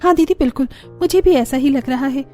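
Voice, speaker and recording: A woman's voice: sits high, brisk, mellow, soft background music, pitched above the performer's own voice, natural voice. A woman voicing an aggrieved, eager young daughter-in-law in a cartoon story, complaining and coaxing dramatically.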